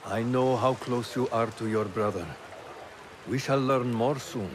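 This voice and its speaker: An actor playing a kindly, patient mentor in an assassin camp in a video game, gentle and unhurried. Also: male voice, mid-range voice, crowd noise, close to an everyday voice. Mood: calm. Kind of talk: dramatic video game acting.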